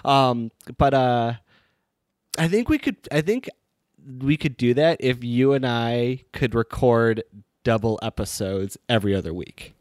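A man with a cold talks in a casual podcast chat, his nose stuffy and his delivery rambling and self-mocking. His voice sits at an ordinary middle pitch, recorded dry and close with no room sound. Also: expressive, unhurried, a little mellow, no echo. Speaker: podcast co-host.

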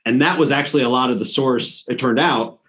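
A man's voice: mid-range voice, fairly quick, fairly bright tone. Informal male interviewer, rambling. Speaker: interviewer, host. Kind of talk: casual chat.

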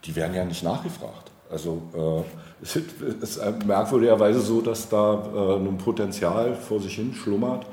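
A man giving reflective, deliberate interview answers on policy, often pausing and searching for his phrasing. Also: lowish voice, dark tone. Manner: hesitant, deliberate, halting phrasing. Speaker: political candidate being interviewed. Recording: roomy, noticeable background noise.

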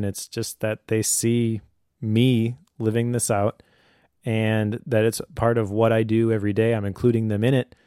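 A man's voice: on the low side, warm tone, smooth, dry recording, studio quality. A man in his thirties chatting casually as a co-host and father, explaining his advice in a conversational way.

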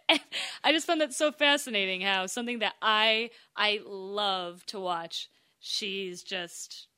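A woman's voice: mid-range voice, pushed and bright. An American woman co-hosting a podcast, chatting casually and laughing often. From United States. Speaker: podcast co-host. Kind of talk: casual chat.

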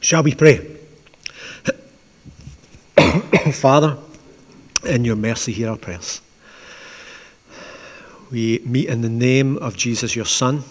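A man with a Glaswegian accent, preaching as the pastor of a church in a conversational, gently humorous way. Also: mid-range voice, takes it slowly, pauses often. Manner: conversational, with asides and dry humour. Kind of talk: sermon with opening prayer. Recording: long church reverb.

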